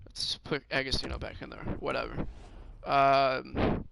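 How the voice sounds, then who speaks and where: A man with a slightly hoarse, raspy voice, casually commentating on his own game and thinking aloud with lots of fillers. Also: medium pitch, flat delivery, a little slow, background noise. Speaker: gaming streamer.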